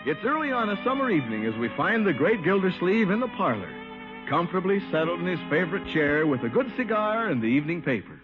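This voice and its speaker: High, animated old-time radio announcer man. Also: quick pace. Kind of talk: 1950s radio sponsor announcing, scripted narration. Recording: dull old radio broadcast. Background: faint music.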